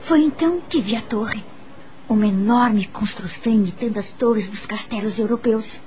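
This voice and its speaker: An actress with a Brazilian accent playing an anxious, curious young governess in a dramatic radio drama, narrating in the first person. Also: female voice, fairly high pitch, moderate pace, warm tone, a little hiss or hum, lightly acted. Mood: suspenseful wonder.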